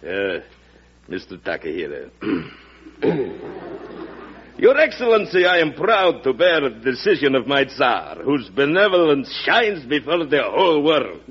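Proud, grandiloquent Russian envoy, lively intonation, muffled old radio recording. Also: male voice, mid-range voice, takes it slowly, a little hiss or hum. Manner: grandiloquent, formal. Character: proud, pompous Russian ambassador. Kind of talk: old-time radio drama acting.

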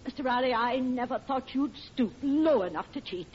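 An actress playing a prim, severe exam supervisor in an old radio comedy, formal and schoolteacherly. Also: female voice, high voice, animated, normal speed. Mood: indignant, severe. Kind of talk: 1940s radio comedy acting. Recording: muffled old radio recording, faint noise.

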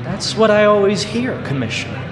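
A man's voice: high voice, animated, brisk. An actor playing a nosy, wisecracking male newspaper reporter, brash and pushy, in an echoey audio drama with music. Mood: brash, prying. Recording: echoey hall, music behind.

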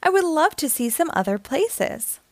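A woman's voice: sits high, big pitch swings, normal speed, no room sound, voice pushed up. A woman voicing a lonely, adventurous male tree in a children's storybook, wistful and eager. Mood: eager, excited. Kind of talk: children's storybook read-aloud.

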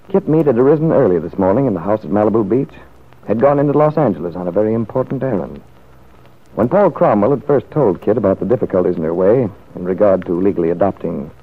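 A male narrator of a 1940s-style radio serial, reading scripted story. His voice is low and slightly rough, and the recording is muffled and narrow like an old broadcast.

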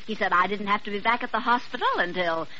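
A woman's voice: mid-range voice, fast talker, pushed and bright, lightly acted. An actress playing a playful, sardonic young woman who works at a hospital, in an old radio drama. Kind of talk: 1940s-50s American radio drama acting. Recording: muffled, noisy old radio recording.

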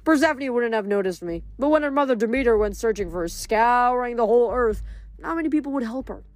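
A woman voicing a cheerful, boastful male farming god who chats in a bouncy, lively way. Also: a little high, fast talker, dry recording, pitched above the performer's own voice, lightly acted. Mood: chatty.

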